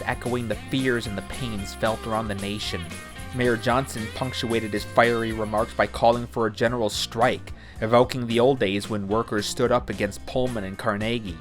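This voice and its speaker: A man reading scripted documentary-style narration in a wry, literary manner. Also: medium pitch. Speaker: narrator of a protest account. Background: music, guitar.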